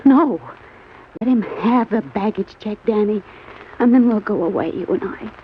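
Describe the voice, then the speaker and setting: A woman's voice: mid-range voice, expressive, moderate pace, close to an everyday voice. Noir radio role: woman playing married temptress, pleading and melodramatic, on a muffled old broadcast with slight hiss. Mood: urgent pleading. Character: seductive, pleading married femme fatale. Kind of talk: old-time radio crime drama acting. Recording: dull, slightly noisy old radio recording.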